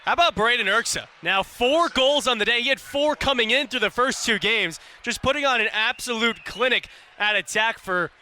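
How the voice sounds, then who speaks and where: A man's voice: extremely high pitch, moderate pace, sharp tone. A young man giving live color commentary on radio sport, analysing in conversational sentences with emphatic opinions. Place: outdoor lacrosse stadium. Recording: noisy outdoor broadcast with stadium ambience.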